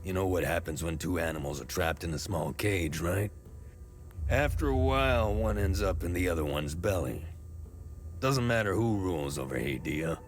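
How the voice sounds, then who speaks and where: A man's voice: sits low, lively intonation, slight background noise. An actor playing a weary, bitter, devout middle-aged man in a war-torn country, in grim video game dialogue. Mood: cynical, grim. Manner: grim, world-weary, deliberate.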